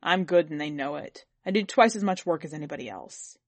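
Audiobook husband, played by a woman: pitched down, sour, gloomy, belittling, short-tempered, very dry close recording. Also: animated, fairly quick, no echo. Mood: gloomy, boastful. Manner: gloomy, brutal, complaining. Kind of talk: dramatic audiobook character reading.

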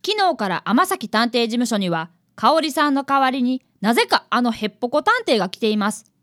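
Little boy detective, super high and swoopy, eager, radio drama style. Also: lively intonation, a little fast, fairly bright tone. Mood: earnest narrating. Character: eager fifth-grade boy, apprentice detective narrating his adventures. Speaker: actor and narrator in a radio drama. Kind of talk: radio drama acting and narration.

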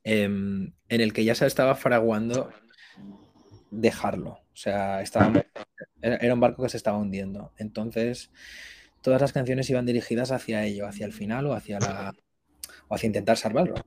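Hombre joven de voz algo grave, coloquial. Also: male voice, on the low side, steady tone, a little mellow. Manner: halting, thinking aloud, colloquial. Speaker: interview guest, musician. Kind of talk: casual chat.

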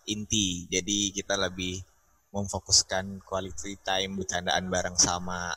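A young man in casual chat, loose and slangy, pausing on fillers as he talks. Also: low-pitched, rough recording. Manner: relaxed slangy talk with fillers. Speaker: panel guest.

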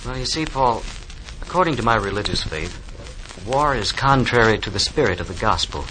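Bright-voiced, earnest WWII soldier. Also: male voice, lowish voice, animated, moderate pace, slight background noise, rough recording. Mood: earnest, gentle. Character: soldiers of a WWII army, earnest, plain-spoken young men. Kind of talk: 1940s radio drama acting. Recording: old 1940s radio broadcast recording.

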